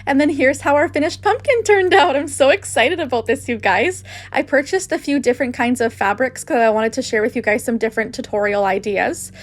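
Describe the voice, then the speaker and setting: A woman's voice: high voice, brisk, few pauses. A woman hosting a craft tutorial, narrating casually with gushing enthusiasm.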